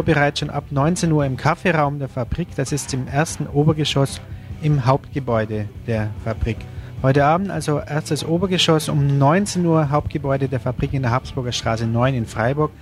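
A man presents informal local radio event tips, loosely repeating details for listeners. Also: medium pitch.

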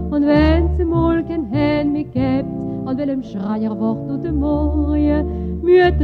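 A woman singing a folk-like protest song. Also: high-pitched. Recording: muffled lo-fi recording under loud music. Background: loud musical accompaniment.

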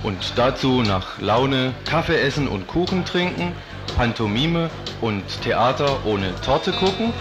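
Loud plucked guitar runs behind a man acting as a chatty local guy. He has a medium-pitched voice and tips off a friend in loose, colloquial radio-promo dialogue. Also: sing-song, a little slow. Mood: casual, informative. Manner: colloquial, casual. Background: guitar music.

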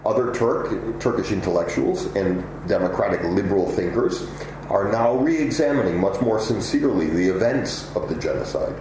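A man giving measured, articulate and emphatic answers as an interview guest. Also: lowish voice, animated. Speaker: interview guest, political analyst. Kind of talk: answering interview questions at length. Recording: echoey room with loud ambient noise.

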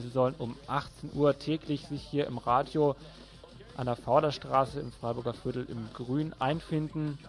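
A man presenting event listings on local radio in an informal, colloquial way. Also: mid-range voice. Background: music playing underneath.

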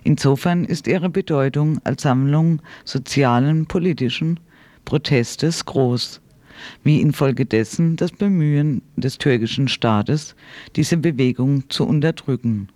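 A man's voice: medium pitch, measured pace, darkish tone, no echo. A man reads a scripted radio report in a sober, formal manner. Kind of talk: scripted news narration.

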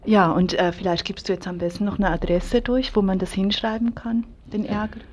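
Female radio interviewer, flat intonation, conversational, faint noise behind her. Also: medium pitch, a little fast, muffled recording. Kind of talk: casual spoken interview.

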